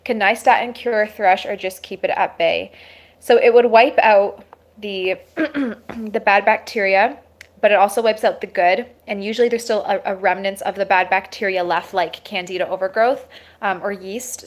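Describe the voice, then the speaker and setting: A woman hosting a live Q&A on holistic health who has a sore throat and is losing her voice. She reads viewer questions and answers them casually, with many fillers and restarts. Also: mid-range voice. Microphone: webcam or phone livestream.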